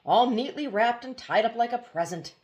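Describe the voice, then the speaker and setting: A woman's voice: a little high, animated, moderate pace, muffled recording, pitched above the performer's own voice, natural voice. A woman voicing a gloomy mechanical hoopoe bird from a storybook, lamenting in oddly broken phrases. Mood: dread, wailing. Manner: lamenting, fractured odd syntax.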